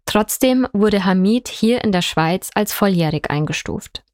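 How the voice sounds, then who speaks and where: A woman reporter narrating a scripted story, clear and measured, addressing viewers directly. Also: mid-range voice, expressive, a little mellow, no room sound, clean studio sound.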